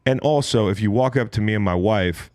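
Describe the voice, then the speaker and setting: A man's voice: fairly low pitch, fairly quick, darkish tone, no echo, clean studio sound. An American man around forty, bantering bluntly on a casual sports podcast as host, swearing freely as he tells stories.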